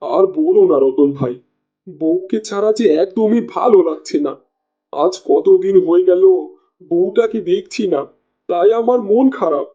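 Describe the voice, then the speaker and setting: A man's voice: high voice, a little slow, warm tone, highs cut off. A man voicing an easily exasperated village husband in a cartoon, with an exclamatory, scolding way of talking. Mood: gloomy. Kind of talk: Bengali cartoon dialogue.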